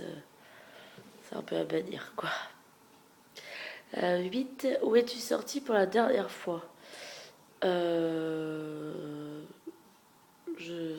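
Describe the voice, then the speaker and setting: A young woman with a stuffy head cold and a nasal, congested voice, chatting frankly through a question-and-answer video and often trailing off into soft murmurs. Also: on the low side, vocal fry, slight background noise. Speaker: beauty vlogger. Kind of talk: casual Q&A tag video.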